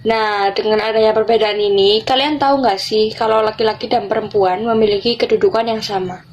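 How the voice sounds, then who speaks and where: A woman's voice: medium pitch, steady tone, brisk, a little mellow, muffled recording. A teenage young woman with an Indonesian accent, explaining things as a student podcast member.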